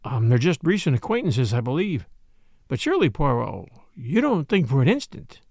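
A man playing an earnest, easily flustered gentleman sidekick in an audiobook story, hesitant and a little stiff. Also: medium pitch, sing-song, moderate pace, dry recording, studio quality.

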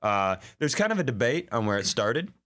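Guy cohosting a comedy podcast, really lively pitch, swears and riffs, on a room mic. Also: moderate pace. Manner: profane, animated banter. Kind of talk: casual comic chat. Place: studio room. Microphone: room microphone.

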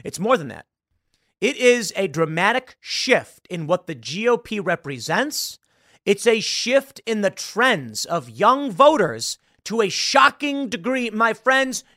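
A man's voice: high-pitched, very animated, unhurried, bright, forceful tone, dry recording, studio quality. A man in his thirties hosting live political commentary, impassioned and sarcastic, mocking the people he quotes. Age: 30s.

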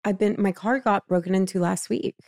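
A woman's voice: medium pitch, steady tone, brisk, a little mellow, no room sound. American woman in dry, casual banter. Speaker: podcast co-host.

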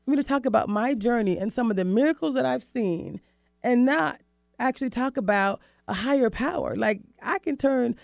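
A woman's voice: a little high, normal speed, creaky, a little mellow, dry recording, clean studio sound. A woman hosting a radio talk show in an emphatic, enthusiastic, conversational way. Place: dry radio studio.

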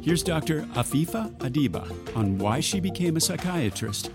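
Man, podcast host, scripted read, lively intonation, music bed underneath. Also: fairly high pitch, normal speed. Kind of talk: scripted announcing. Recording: over background music.

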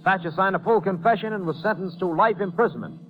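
A man's voice: high voice, quick pace, fairly bright tone. A man reading a formal, scripted case report as a 1950s radio announcer. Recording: noisy old radio recording.

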